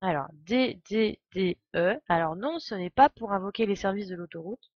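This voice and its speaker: A woman's voice: medium pitch, moderate pace, dry recording, highs cut off, soft background music. A woman giving casual live game commentary as a streamer, chatty and playful, wandering into asides.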